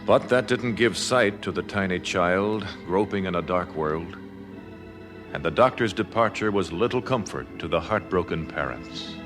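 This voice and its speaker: A man giving formal, measured, scripted narration as the announcer of an old-time radio drama. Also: low-pitched. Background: organ music.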